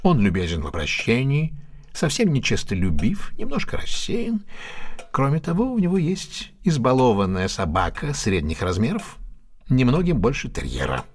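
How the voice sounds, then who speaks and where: A man's voice: mid-range voice, wildly swooping pitch, normal speed. An actor in an audio drama playing a keen, self-assured male detective who reasons step by step in a didactic, theatrical way. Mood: confident deduction. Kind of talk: Russian audio drama acting.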